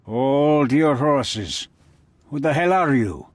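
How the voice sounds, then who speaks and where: An actor playing a menacing, haughty middle-aged crime boss with a husky voice, speaking formally and deliberately in a dramatic video game style. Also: male voice, mid-range voice, expressive, dry recording. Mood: threatening, haughty.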